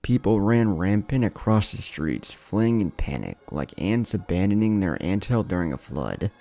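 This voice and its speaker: A man's voice: fairly low pitch, lively intonation, normal speed, warm tone. A man narrating a scripted dramatic story as the host of a story channel. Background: faint music.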